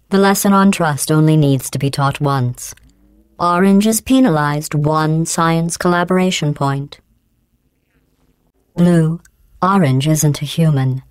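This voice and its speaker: Cold sarcastic female ai computer, deadpan and condescending, low smooth voice, dry studio sound. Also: no room sound. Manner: deadpan, condescending, passive-aggressive. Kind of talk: video game voice clips.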